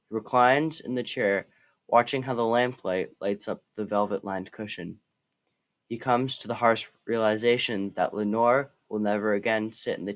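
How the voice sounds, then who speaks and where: A man narrator reading a scripted story aloud. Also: fairly low pitch.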